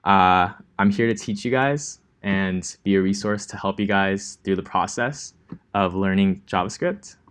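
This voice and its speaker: A young man from Los Angeles teaching a coding class in a relaxed, chatty way, with many ums and likes. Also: sits low, unhurried, clear, resonant tone. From Los Angeles, California. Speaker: coding instructor. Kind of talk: casual classroom lecture.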